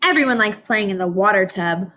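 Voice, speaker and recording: Animated woman reading aloud to young children. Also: medium pitch, brisk, a little hiss or hum. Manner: expressive, questioning, addresses young listeners directly. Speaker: teacher reading a picture book aloud. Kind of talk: informational read-aloud lesson for young children.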